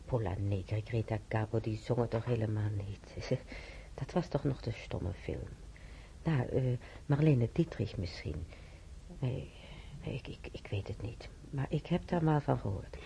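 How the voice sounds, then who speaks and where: A frail old woman in a naturalistic radio play, grateful yet fretful. Her voice is very dark and creaky, and she murmurs hesitantly, repeating herself. The old recording has noticeable noise behind her. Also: a bass voice, animated, a little slow, heavily acted, rough recording. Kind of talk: naturalistic radio drama acting.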